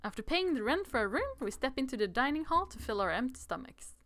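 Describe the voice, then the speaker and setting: A woman's voice: sits high, very animated, fast, fairly bright tone. A playful, giggly woman on a livestream, reading game text aloud and adding casual commentary.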